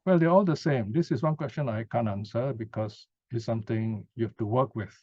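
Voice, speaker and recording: A man's voice: mid-range voice, brisk, mellow, no room sound. A man giving an informal Buddhist teaching talk and answering questions, conversational and often checking in with short tag questions. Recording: dull lo-fi sound, dry.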